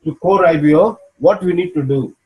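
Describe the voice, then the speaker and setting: A man's voice: on the high side, moderate pace, dull audio. A man coaching on a video call. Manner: patient, checks in with "okay?" after each point. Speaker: business mentor.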